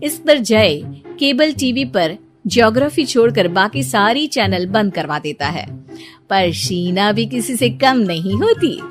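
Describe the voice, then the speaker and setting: A woman reading scripted narration for an animated moral story. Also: mid-range voice, unhurried, faint music behind.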